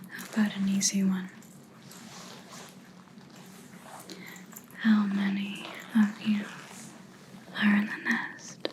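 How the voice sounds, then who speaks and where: A woman playing a stern, menacing vampire hunter in an ASMR roleplay, speaking in a breathy whisper with deliberate, taunting pauses. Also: mid-range voice, monotone, noisy background, close to an everyday voice. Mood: probing, taunting. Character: stern female vampire hunter interrogating a captive, menacing, contemptuous.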